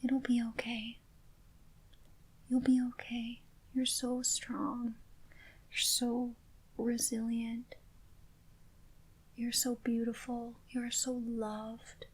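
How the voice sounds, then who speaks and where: A woman speaking in a soft, gentle whisper, reassuring a listener in a comforting ASMR roleplay. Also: a little high, completely flat delivery, extremely slow, pauses often, husky, rough recording.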